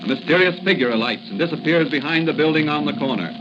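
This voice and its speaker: A man's voice: a little high, animated, fast talker, very noisy. A man narrating in dramatic 1940s radio style, theatrical and suspenseful. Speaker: announcer and narrator. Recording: muffled 1940 radio broadcast. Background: dramatic music.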